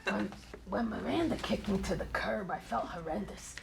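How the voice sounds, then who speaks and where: A woman's voice: medium pitch, normal speed, vocal fry, noisy background. A woman playing an awkward, kindhearted divorced bar owner in his fifties, hesitant and stammering, in a live comedy table read. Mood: earnest, gentle. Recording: live stage reading before an audience.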